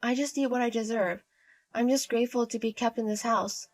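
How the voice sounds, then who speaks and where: A woman's voice: fairly high pitch, fairly even intonation, a little fast, natural voice. A woman voicing a timid, downtrodden young wife who speaks meekly and apologetically. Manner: meek, apologetic, soft-spoken.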